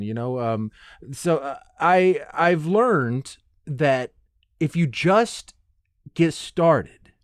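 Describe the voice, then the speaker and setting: A man's voice: medium pitch, no room sound, studio quality. A man hosting a podcast alone in a casual, loose, rambling monologue with a playful, self-deprecating manner. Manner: rambling, loose, tangent-prone. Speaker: podcast host.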